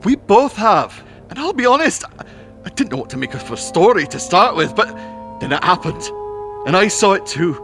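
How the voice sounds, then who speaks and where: A man acting as a plain-spoken former soldier in an audio drama. His voice is a little high and swings widely in pitch, worried and forthright. There is light music behind him. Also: big pitch swings, normal speed. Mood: earnest, troubled. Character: forthright, worried ex-soldier working at a country house.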